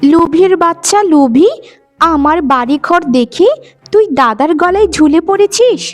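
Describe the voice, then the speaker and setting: A woman voicing a haughty, cruel young sister-in-law in a melodramatic cartoon, sneering and taunting. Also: very high-pitched. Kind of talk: melodramatic moral-story cartoon acting.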